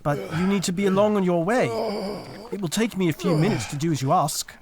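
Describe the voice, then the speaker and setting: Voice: medium pitch, fairly quick, a crowd in the background, natural voice. An actor playing an anxious, pleading, polite boy in a biblical audio drama. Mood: hesitant, dutiful.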